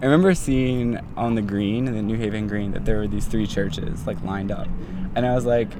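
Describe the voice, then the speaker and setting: A man's voice: on the low side, normal speed, noisy background. A young man in his twenties, a doctoral student, telling his story in a casual, rambling way full of fillers like "like" and "um". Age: 20s.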